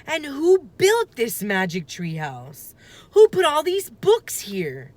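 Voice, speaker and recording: A woman's voice: high voice, wildly swooping pitch, slow pace, voice pushed up, full character voice. A woman voicing an earnest, cautious young boy in a children's storybook, with a questioning, wondering manner. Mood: puzzled. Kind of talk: children's storybook read-aloud.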